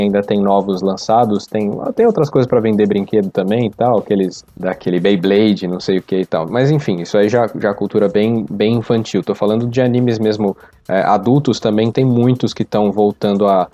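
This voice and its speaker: A man's voice: on the low side, a little fast, hardly any pauses, mellow, dry recording. A Brazilian man chatting casually about pop culture as a participant on a podcast.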